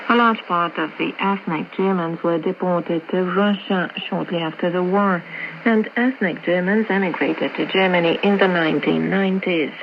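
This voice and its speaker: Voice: mid-range voice, moderate pace, gravelly. A radio presenter reading scripted travel narration, heard over shortwave radio. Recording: noisy, muffled shortwave AM radio reception.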